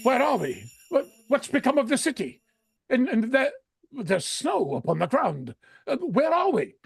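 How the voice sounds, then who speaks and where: A man playing a frightened, regretful old miser in a radio drama, stammering and repeating his words in a creaky voice. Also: very high voice, wildly swooping pitch, unhurried, slight background noise, heavily acted. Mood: amazed.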